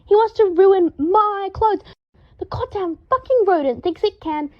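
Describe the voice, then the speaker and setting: A woman's voice: very high-pitched, a little slow, highs cut off, pitched above the performer's own voice. A woman voicing a fussy, dramatic young wizard man who gets indignant and seethes, in an audiobook reading. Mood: hysterical rage. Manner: seething, hysterical outbursts, stiffly formal when restrained. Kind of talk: audiobook character voice.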